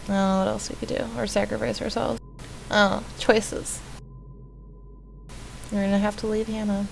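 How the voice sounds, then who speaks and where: A woman's voice: mid-range voice, little pitch movement, moderate pace, vocal fry, faint music behind, low-quality recording. A woman reading a visual novel aloud and commenting as she plays. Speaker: streamer playing a visual novel. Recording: faint background noise.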